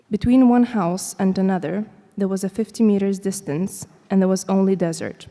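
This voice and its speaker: A woman reads a prose passage aloud in a steady, measured way. Her voice is dark and mellow. The long reverb of a lecture hall hangs around every phrase. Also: medium pitch. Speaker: student reading a text aloud. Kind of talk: reading a prose text aloud.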